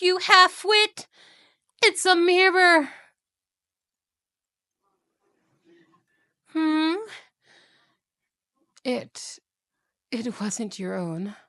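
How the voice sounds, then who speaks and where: A woman's voice: high voice, bright, forceful tone, no room sound, clean studio sound, heavily acted. A woman playing a haughty, cruel evil queen and wicked stepmother, imperious, scolding and condescending, in a fantasy audio roleplay. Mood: dismissive, mocking. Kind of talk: fantasy ASMR roleplay acting.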